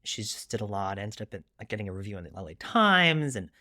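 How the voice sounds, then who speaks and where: A man's voice: lowish voice, big pitch swings, fast talker, sharp tone, no room sound, studio quality. A middle-aged man from Long Island, New York, speaking candidly and reflectively as a guest in an interview, often adding asides. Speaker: guest author.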